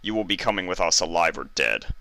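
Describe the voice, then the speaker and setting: A man's voice: sits low, expressive, a little fast, vocal fry, bright, forceful tone, dry recording, full character voice. A man voicing a stern, threatening male royal guard pony with a dry, commanding manner in a story reading.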